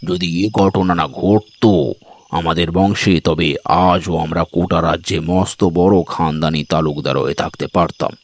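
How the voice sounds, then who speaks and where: Man voicing a grave, low, slow-speaking Rajput gentleman. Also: fairly even intonation, vocal fry, exaggerated voice. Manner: solemn, slow, deliberate. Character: grave, dignified Rajput gentleman recounting family history. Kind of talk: audio story dramatization.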